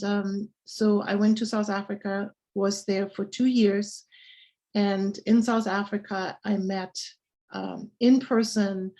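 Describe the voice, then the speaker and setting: Casting: German-accented woman, sixties, scientist guest, mid-pitched, halting delivery. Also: a little mellow. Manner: halting, many pauses and fillers. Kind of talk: interview chat.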